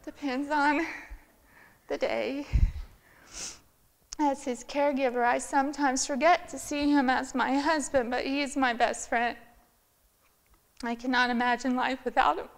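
A woman's voice: sits high, steady tone, a little slow, creaky, pauses often. A middle-aged woman sharing her personal testimony with earnest, heartfelt storytelling at a church women's event, speaking from a lectern in a large church sanctuary. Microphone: filmed by a far camera.

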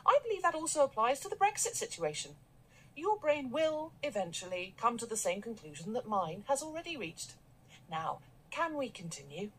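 An actress playing a brisk, self-assured, domineering business boss, commanding and condescending, in a radio drama. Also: female voice, fairly high pitch, very animated, slightly rough, poor audio. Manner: commanding, condescending, jargon-heavy. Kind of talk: naturalistic radio drama acting.

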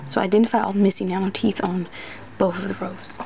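A woman doing an ASMR roleplay in soft speech that slips into whispers, muttering hesitantly to herself like an unsure student. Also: medium pitch, flat delivery, fast talker. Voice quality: soft, often breathy whispering. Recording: noisy close recording with clicks.

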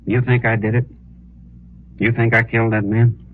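An actor playing an earnest, humble American prisoner in a 1950s radio western, speaking haltingly. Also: male voice, medium pitch, flat delivery, narrow, tinny audio, lightly acted. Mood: pleading, indignant. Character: earnest young condemned prisoner protesting his innocence. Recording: thin, noisy old radio recording.

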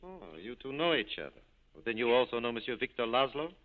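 A man acts an urbane, genial socializer in a 1940s radio play. He talks quickly at a medium pitch through a tinny vintage broadcast. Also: big pitch swings, natural voice. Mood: genial, amused. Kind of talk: 1940s radio drama acting.

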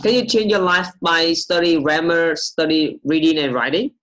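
A young man teaching English on a video call, repeating questions slowly to coax answers from his student. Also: a little high, fairly bright tone. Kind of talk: online English lesson over video call.

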